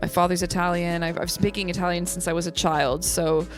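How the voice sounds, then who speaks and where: A woman's voice: on the low side, flat delivery, a little fast, faint music behind. A young American woman, a singer-songwriter, chatting thoughtfully and agreeably as an interview guest. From United States. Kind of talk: casual interview chat.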